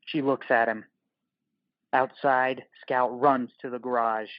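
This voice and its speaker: A man reading scripted stage directions for an audio drama as narrator, in deliberate, dramatic short phrases. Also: mid-range voice, fairly even intonation, highs cut off.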